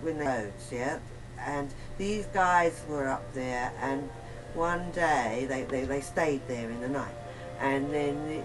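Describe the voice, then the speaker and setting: A woman giving a casual, rambling account in a chat, halting and often repeating herself. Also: low-pitched. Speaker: interview guest. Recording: noisy amateur recording of a chat.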